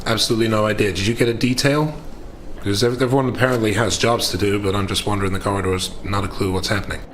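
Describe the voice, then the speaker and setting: A man playing a tabletop role-playing game, casually improvising and thinking aloud in a halting way. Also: lowish voice, steady tone, a bit bright, a little hiss or hum.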